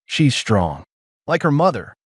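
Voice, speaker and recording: A man voicing a weary, gruff human ex-mercenary who speaks low and clipped. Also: very animated, a little slow, dry recording, clean studio sound.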